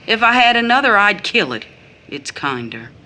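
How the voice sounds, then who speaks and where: Forceful, bitter mother. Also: mid-range voice, big pitch swings, normal speed, slight background noise. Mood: bitter, defiant. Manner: emphatic, grave, bitter. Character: bitter, disillusioned mother, weary and defiant. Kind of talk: audio drama stage-style acting.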